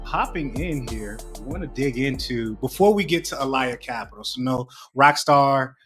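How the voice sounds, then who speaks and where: A man's voice: medium pitch, expressive, normal speed, a bit bright, breathy edge, soft background music. A man hosting a podcast interview, upbeat and loose, asking long rambling questions. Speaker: podcast host.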